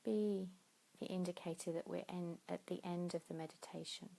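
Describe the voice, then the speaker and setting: A woman leading a guided sleep meditation in a soothing, unhurried way, pausing between phrases. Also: fairly low pitch, steady tone, vocal fry, warm tone, low-quality recording. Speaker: yoga nidra meditation teacher.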